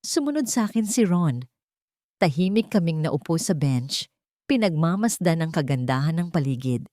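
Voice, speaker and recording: A woman's voice: sits low, big pitch swings, moderate pace, warm tone, dry recording, studio quality. A woman reading a first-person story as scripted audiobook narration, in an earnest, dramatic storytelling manner.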